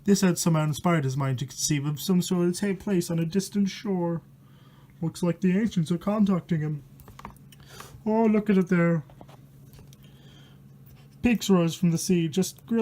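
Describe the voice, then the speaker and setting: A man with a high-pitched voice reads a storybook aloud. His delivery is theatrical and mock-horrified, moving between narration and loose, crude ad-libbed commentary. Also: dark tone. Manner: dramatic, mock-horrified, crude swearing asides. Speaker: storyteller reading a book aloud. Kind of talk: storybook reading with casual ad-lib commentary.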